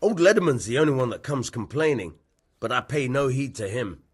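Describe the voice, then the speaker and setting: An actor playing a gruff, dismissive medieval town bailiff in a video game, husky and matter-of-fact. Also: male voice, mid-range voice, very animated, brisk. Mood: defensive, dismissive. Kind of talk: video game dialogue acting.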